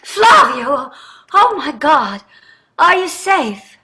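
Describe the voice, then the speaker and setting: A woman's voice: high-pitched, very animated, drawn-out words, sharp tone, full character voice. A woman playing a distraught, guilt-ridden wealthy wife in a staged play reading, dramatic and emphatic. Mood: alarmed, flustered.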